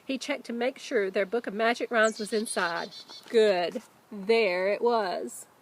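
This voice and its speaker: A woman, a teacher reading a children's book aloud expressively, with deliberate emphasis. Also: mid-range voice, a little hiss or hum. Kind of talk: children's storybook read-aloud.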